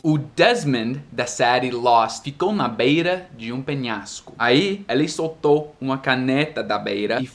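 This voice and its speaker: A man's voice: medium pitch, unhurried, hardly any pauses, soft background music. A man whose first language is English, with an American English accent, giving a casual, friendly video lesson on memorizing vocabulary. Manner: friendly, chatty, lively examples. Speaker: language teacher.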